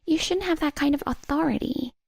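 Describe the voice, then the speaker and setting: Woman doing a whiny young mistress for a story, high voice, bit creaky, indignant n flustered. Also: a little fast, no room sound, clean studio sound. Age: young adult. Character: shrill, flustered young mistress throwing a tantrum. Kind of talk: dramatised story dialogue.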